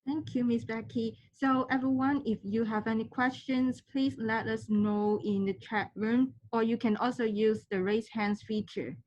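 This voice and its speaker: A woman hosts a question session on a video call. Her voice sits a little high and she speaks politely and formally, with halting phrasing. The audio is somewhat dull. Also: fairly even intonation, a little mellow, a little hiss or hum. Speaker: online workshop moderator. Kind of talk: hosting an online question session.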